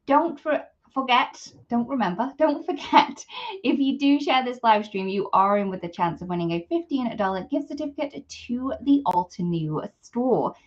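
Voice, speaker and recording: Sniffly woman hosting a craft live stream. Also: on the high side. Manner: chatty, greets viewers by name. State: sniffly with a cold. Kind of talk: casual live product demo. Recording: slightly dull live stream audio.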